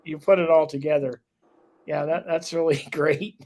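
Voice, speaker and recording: A man's voice: fairly high pitch, steady tone, normal speed, a little mellow. A man hosting a local talk show, chatting casually with a guest and rambling with lots of fillers.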